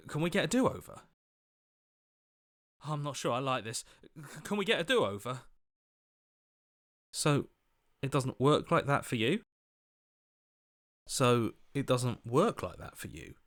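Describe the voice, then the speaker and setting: An anxious, earnest hero, slow-paced. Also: male voice, medium pitch, a bit bright. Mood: wary, doubtful, then indignant, hurt. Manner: hesitant, worried questioning with stammers. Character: anxious, earnest heroic inner voice, questioning and conscientious. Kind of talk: video game character acting.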